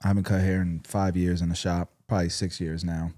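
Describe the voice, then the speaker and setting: A man with a low, warm voice hosts a livestream from his own setup. He talks in a blunt, laid-back way. The sound is dry and close. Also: level tone, brisk, dry recording. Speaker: livestream host. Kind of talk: casual livestream chat.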